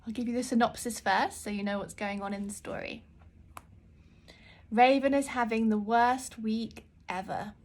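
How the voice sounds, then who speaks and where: A woman author reading her children's book aloud in an expressive storytelling style. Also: a little high, moderate pace.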